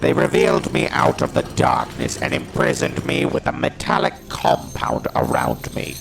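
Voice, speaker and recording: A man playing a hateful, captive alien war machine in an audio drama, with a harsh mechanical voice and slow, ominous, deliberate delivery. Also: a little high, huge pitch swings, full character voice. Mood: brooding, resentful. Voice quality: gravelly, harsh, mechanical monster voice.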